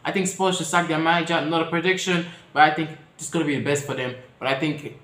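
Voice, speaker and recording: A man chats through his opinions in a casual vlog and talks straight to his viewers. His voice sits a little higher than most men's. The sound bounces around an echoey room. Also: steady tone, fast. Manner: chatty, addresses viewers directly, shifts pitch and loudness often. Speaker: football vlogger.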